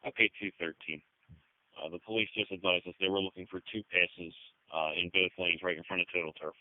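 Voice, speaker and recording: A man's voice: low-pitched, fairly even intonation, a little fast, dry recording. A man working as a dispatcher, giving brief businesslike replies over two-way radio. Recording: tinny narrowband radio transmission.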